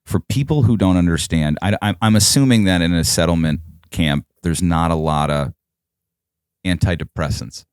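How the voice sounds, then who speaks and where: Deep-voiced jokey podcast host. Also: male voice, normal speed, clear, resonant tone, dry recording, studio quality. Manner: jokey, probing questions. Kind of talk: casual interview chat.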